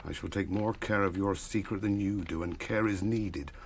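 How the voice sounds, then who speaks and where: An actor playing a mysterious, shrewd and commanding wanderer in a radio drama, with an English accent and a measured, formal delivery. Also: male voice, deep voice, slight background noise. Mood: urgent warning.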